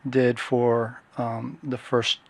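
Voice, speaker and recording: A gravelly-voiced middle-aged man from Washington State, talking through his past as a podcast guest. He is relaxed and unhurried, pausing and restarting often. The sound is dry and close to the mic. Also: mid-range voice, no room sound. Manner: relaxed, hesitant storytelling with many fillers. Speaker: guest, security consultant. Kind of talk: casual interview talk.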